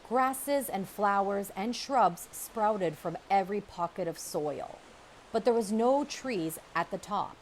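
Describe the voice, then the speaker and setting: Female storybook reader, lively pitch swings, deliberate dramatic pacing, faint hiss behind the voice. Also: rough recording. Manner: expressive, measured storytelling with dramatic pauses. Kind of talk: children's audiobook read-aloud, scripted narration.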